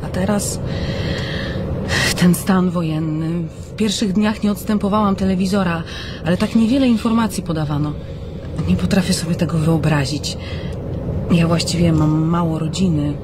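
An actress playing an earnest, polite young Polish émigré journalist in a naturalistic radio drama. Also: female voice, fairly low pitch, steady tone, moderate pace, noisy background, lightly acted. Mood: wistful.

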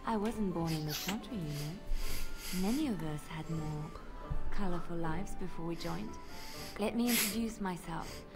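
An actress playing an earnest, devout young fighting sister in a video game, gentle and sincere. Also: female voice, lowish voice, a little slow, music in the background. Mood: polite, composed.

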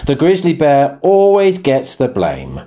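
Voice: mid-range voice, huge pitch swings, measured pace, mellow. A performer voicing a grumpy, grumbling male grizzly bear in a radio pantomime. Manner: grumbling, sarcastic.

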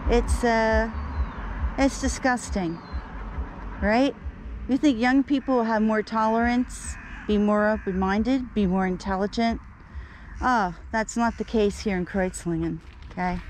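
A woman's voice: a little high, unhurried, darkish tone, poor audio. A woman narrating a casual vlog while feeding birds outdoors on a lawn beside a road, chatting to the birds and grumbling about locals. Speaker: vlogger feeding wild birds. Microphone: handheld camera held low. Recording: outdoor noise of traffic and birds.

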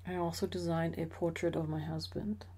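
A middle-aged woman narrating a slideshow about her woodworking hobby in a measured, explanatory way with occasional fillers. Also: deep voice, level tone, mellow, husky, rough recording. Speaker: associate professor sharing a hobby.

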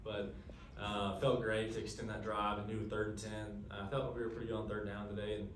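A young man answering questions at a postgame press conference, earnest and quick, with lots of fillers. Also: fairly low pitch, level tone, slight background noise. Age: young adult. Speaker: college quarterback. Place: press room, seated at a table. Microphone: table mic, camera at a distance. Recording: echoey room, from a distance.

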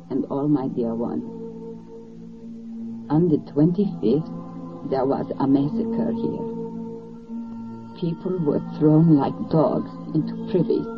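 A woman playing a grieving mother reading out a letter in a 1940s radio drama, mournful and measured. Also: sits low. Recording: muffled old 1940s radio recording.

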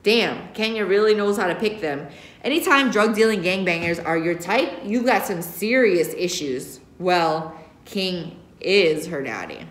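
A woman in her thirties, a teacher reading a young-adult novel aloud in an expressive first-person narrating style. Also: lowish voice, unhurried. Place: indoor classroom. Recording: echoey classroom recording.

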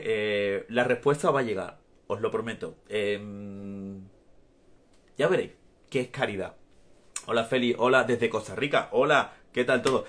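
An Andalusian man in his thirties talks into a phone or webcam in a small room at home. His voice sits a little low, and he chats loosely and laughs a lot as he answers viewers' questions. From Andalusia, Spain. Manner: warm, chatty, laughs often, gestures while talking. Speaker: actor answering fans in a live stream. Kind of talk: casual live chat reading viewer comments. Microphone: phone or webcam at arm's length.

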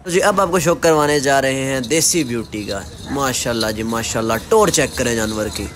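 A man's voice: mid-range voice, a little fast, a bit bright, a little hiss or hum. A man narrating a livestock vlog as the host, enthusiastic and playful with rhyming, joking asides. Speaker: vlogger narrating voice-over.

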